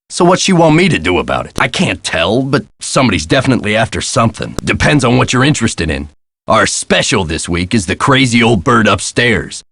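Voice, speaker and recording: An actor playing a gruff, put-upon castle hotel caretaker in a video game, with a folksy, sardonic and exasperated way of talking. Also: male voice, mid-range voice, a bit bright, dry recording. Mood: harried. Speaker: caretaker and front desk clerk. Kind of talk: scripted video game character lines.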